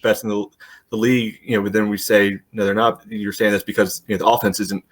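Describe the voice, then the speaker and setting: A man's voice: lowish voice, level tone, fast talker. A man co-hosting a casual sports podcast, pushing back with statistics and filling his speech with "you know.". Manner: pushes back with stats, many "you know" fillers. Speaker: podcast co-host.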